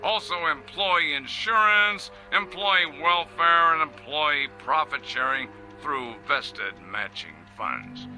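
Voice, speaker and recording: Radio drama role: smug corporate chief, very forceful and bright tone, higher-pitched, showman's pomp, sells automation to shareholders. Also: faint music behind, full character voice, poor audio. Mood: gloating, triumphant. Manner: pompous, showy salesman's delivery with grand pauses. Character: pompous, self-satisfied male factory boss, zealous for automation. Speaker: company head addressing shareholders. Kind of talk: radio drama acting as a corporate video presentation.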